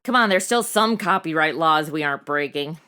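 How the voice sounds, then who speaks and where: A woman's voice: low voice, animated, loud music behind the voice. An actress playing a flippant young American woman in her twenties with slangy valley-girl drawl, in a comedy audio drama. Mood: sassy, flippant. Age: 20s. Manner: slangy valley-girl talk with drawn-out words. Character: flippant modern twenty-something woman, sassy amateur detective.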